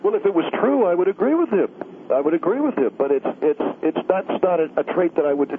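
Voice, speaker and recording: A self-righteous, pompous pundit, put on by a man holds forth with oblivious smugness. His voice sits a little high. It comes through a tinny phone line with a faint hiss underneath. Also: very animated, quick pace. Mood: condescending. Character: smug bigoted older male pundit, pompous and oblivious. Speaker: studio guest on a call-in radio show.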